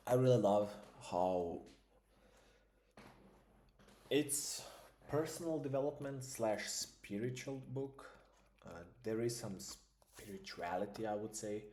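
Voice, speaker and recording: A man's voice: low voice, darkish tone, echoey room, a little hiss or hum. A man, an English speaker, musing in a casual vlog and thinking aloud with plenty of fillers. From Croatia. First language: Croatian. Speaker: vlogger. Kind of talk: casual reflective monologue.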